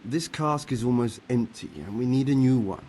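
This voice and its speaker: An actor playing a jovial, sly older medieval monk in a video game, with a hearty, coaxing manner. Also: male voice, medium pitch, normal speed, mellow, slight background noise, close to an everyday voice. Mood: sly, persuasive. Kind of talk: video game cutscene acting.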